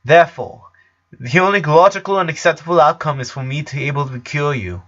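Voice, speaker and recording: A man's voice: a little high, fairly quick, a bit bright, highs cut off, voice pushed up, natural voice. A man voicing a four-year-old genius girl, serious and stubborn, speaking in formal, precise, wordy sentences for a story. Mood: grave, determined.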